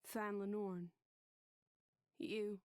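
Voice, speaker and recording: A soft-spoken young woman from a video game. She is gentle yet serious and probing, in a middle register. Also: mid-range voice, little pitch movement, very slow, clear, resonant tone, dry recording. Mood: earnest. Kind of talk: video game cutscene acting.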